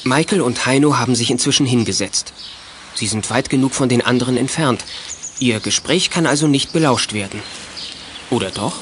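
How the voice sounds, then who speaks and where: Medium-pitched man narrating a youth radio play. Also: normal speed, fairly bright tone, a little hiss or hum. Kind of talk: scripted radio play narration for young listeners.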